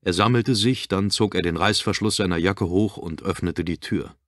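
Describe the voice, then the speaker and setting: A man's voice: deep voice, normal speed, no room sound, studio quality. A man narrating a suspense thriller audiobook in a scripted, literary reading style. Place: dry studio.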